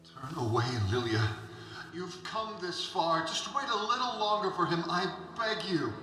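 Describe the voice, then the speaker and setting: A man plays a volatile sultan in an audio drama. His voice is bright and forceful, swinging widely as he commands and declaims. He is imperious and distrustful, with music playing underneath. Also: sits high, lively intonation, a little slow, exaggerated voice, poor audio. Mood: pleading. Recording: music bed behind the voice.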